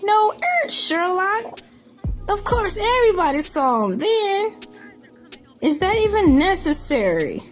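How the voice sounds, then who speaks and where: A woman's voice: very high-pitched, very animated, slow. A woman hosting a casual, comedic commentary on a news article, with incredulous, joking and rambling asides. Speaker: video host. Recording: music bed under the voice. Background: background music.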